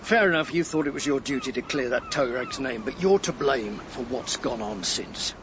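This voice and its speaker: An actor with a British accent playing a gruff, blunt police superintendent in a radio drama, stern and probing. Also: male voice, mid-range voice, expressive, normal speed, lightly acted. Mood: accusing. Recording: noisy background ambience.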